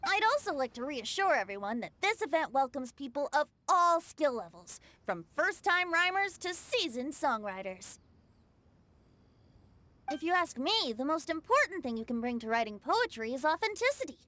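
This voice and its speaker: Bright, high, breezy young bard. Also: female voice, sing-song, normal speed, no room sound, heavily acted. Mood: warm encouragement. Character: cheerful youthful bard, earnest and playful host. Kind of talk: video game voice acting.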